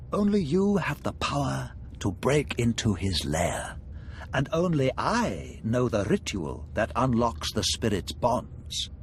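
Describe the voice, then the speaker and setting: A man's voice: a little high, a little hiss or hum. An actor playing a cunning, aristocratic Sith lord in a video game, courtly and theatrical, relishing his words. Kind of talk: video game character acting.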